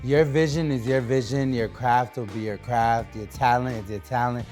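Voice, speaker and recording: A man's voice: medium pitch, steady tone, normal speed, a little mellow, faint music behind. A young man from New Hampshire talking loosely as a guest on a podcast, with lots of fillers and restarts. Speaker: guest artist. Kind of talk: casual podcast interview.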